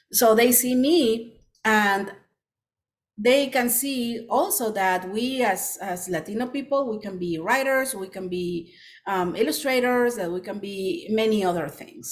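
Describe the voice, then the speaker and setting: Middle-aged woman w peruvian accent, author giving a talk, lots of ums and restarts, earnest. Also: mid-range voice. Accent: Peruvian Spanish. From Peru. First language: Spanish. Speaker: children's author. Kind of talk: presentation talk.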